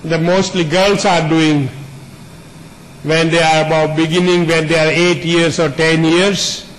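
A man's voice: on the high side, flat delivery, a little slow, fairly bright tone, low-quality recording. A man teaching a spiritual discourse in an earnest, plain way, building long repeated lists and simple images.

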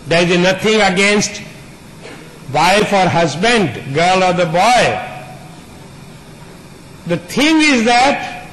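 A man's voice: high-pitched, a bit bright, a little hiss or hum, poor audio. A man teaching a spiritual discourse in an earnest, plain way, building long repeated lists and simple images.

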